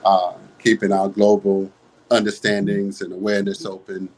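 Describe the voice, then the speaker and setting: A man acts as host on a video call. His voice is fairly low and stays very even in pitch. He speaks off the cuff in measured, halting phrases. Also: poor audio. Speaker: host, moderator. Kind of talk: unscripted introduction on a video call.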